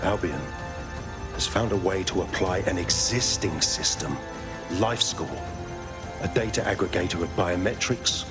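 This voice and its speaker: An actor playing a cold authoritarian security chief giving a measured, grandiose presentation speech in a video game. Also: male voice, deep voice. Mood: cold, imposing. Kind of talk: scripted dramatic presentation speech. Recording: loud music behind.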